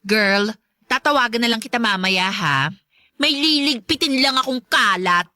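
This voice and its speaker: A woman's voice: fairly high pitch, moderate pace, sharp tone, no room sound, clean studio sound. A woman playing a spiteful, brazen stepmother figure in a Filipino radio drama, with a taunting, condescending, sugary manner and a Filipino accent. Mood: brazen, dismissive. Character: spiteful, brazen stepmonster girlfriend of a widowed father.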